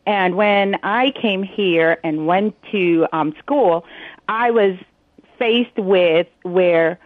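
A woman from Jamaica speaks with a Jamaican accent in a quick, storytelling way, at a middle pitch. She comes through a tinny phone line with a dry sound. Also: dry recording.

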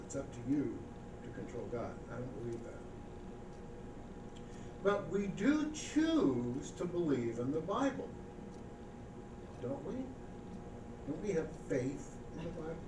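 A man preaching a sermon as pastor, reading scripture slowly and with emphasis, then speaking casually and asking the congregation questions. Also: medium pitch. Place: church sanctuary. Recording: echoey church recording from far off with background noise.

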